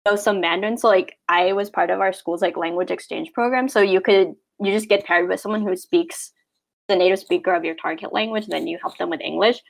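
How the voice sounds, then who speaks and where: A woman's voice: mid-range voice, creaky. A young woman in casual podcast chat, thoughtful and full of "like" fillers.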